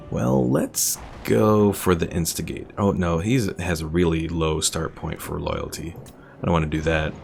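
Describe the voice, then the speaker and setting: Gravelly low-voiced man streaming a game. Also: big pitch swings, slow pace, long pauses, faint music behind. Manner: thinks aloud, reads chat names, chuckles softly. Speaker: streamer playing a strategy game. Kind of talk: casual gameplay commentary.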